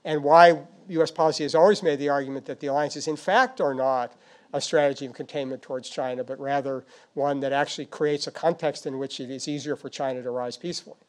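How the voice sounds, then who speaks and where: A man answering questions as a foreign policy expert, speaking off the cuff in a halting, thoughtful, hedging way. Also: a little high, fairly even intonation. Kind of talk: unscripted answers at a policy discussion.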